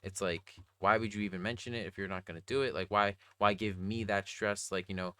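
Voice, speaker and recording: A young adult man with a slightly raspy voice, talking casually and earnestly in a rambling, slangy video diary. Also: fairly low pitch, steady tone, fairly quick, fairly bright tone, no room sound. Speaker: vlogger.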